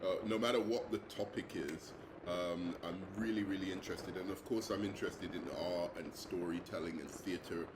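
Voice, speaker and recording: A man's voice: sits low, fairly even intonation, normal speed. A young English man with a London accent, introducing himself as an English teacher, with frequent ums and uhs. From England. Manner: hesitant, many ums and uhs. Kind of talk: self-introduction video. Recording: echoey room with noise.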